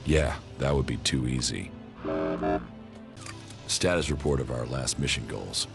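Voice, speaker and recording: An actor playing a gruff, cold military commander in a video game, terse and dryly sardonic, with a gravelly voice. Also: male voice, a bass voice, measured pace, music in the background, full character voice. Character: gruff, cold male alien-faction commander, curt and weary.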